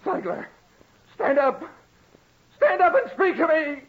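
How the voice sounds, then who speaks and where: An actor playing an anguished, feverish gambler turned murderer in a theatrical 1940s radio horror style, brooding and swinging between hushed and frantic. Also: male voice, very high voice, animated, slow, phone-quality sound, very noisy, exaggerated voice. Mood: frenzied. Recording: old radio recording with loud music.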